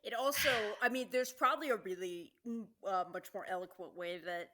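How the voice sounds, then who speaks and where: A woman's voice: mid-range voice, lively intonation, fairly quick, sharp tone. A woman co-hosting a podcast, chatting casually and conversationally with the other hosts. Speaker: podcast host. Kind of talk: casual chat among co-hosts.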